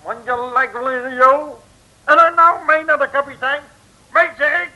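An actor playing a bossy, rough sailor in an old Dutch radio drama, commanding in colloquial speech. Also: male voice, very high-pitched, normal speed, sharp tone, heavily acted, low-quality recording. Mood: threatening.

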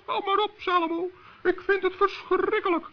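A man playing a small, kindly but strict gnome in a children's radio play, squeaky and earnestly scolding. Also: steady tone, moderate pace. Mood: indignant scolding. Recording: dull old radio play recording.

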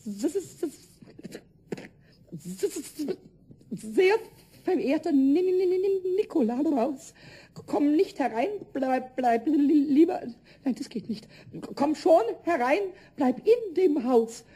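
A man voicing a small, cheeky, nervous male kobold in a radio play, stammering and pleading in a squeaky voice. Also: expressive, slow pace, mellow, full character voice. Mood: nervous, flustered. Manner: stammering, pleading, breaking off and repeating words. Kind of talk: German radio play acting.

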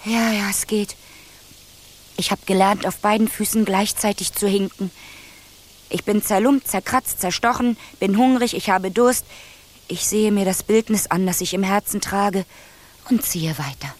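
An actress playing a brave, earnest fifteen-year-old princess in a fairy-tale radio play, speaking plainly in storybook phrases. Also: female voice, medium pitch, unhurried, close to an everyday voice. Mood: weary. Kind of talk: German radio play acting.

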